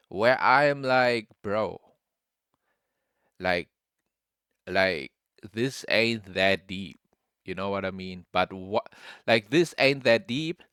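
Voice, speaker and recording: Slow-talking young man, casual and slangy. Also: on the low side, pushed and bright, clean tone, no echo, clean studio sound. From Germany. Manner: slangy, loose, says bro often. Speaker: vlogger. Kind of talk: casual rambling commentary.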